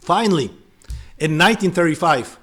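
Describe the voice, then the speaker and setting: A man's voice: a little high, animated, moderate pace, a bit bright. A man hosting and narrating a scripted explainer, breaking off into hesitant, filler-heavy asides. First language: not French. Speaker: host.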